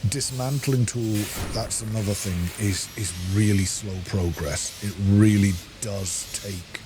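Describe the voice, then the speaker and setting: A man with a fairly low voice hosts a casual gameplay walkthrough. He explains mechanics in a practical, chatty way to the viewer. The recording has clear background noise and game ambience behind the voice. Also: slow. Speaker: gaming video host.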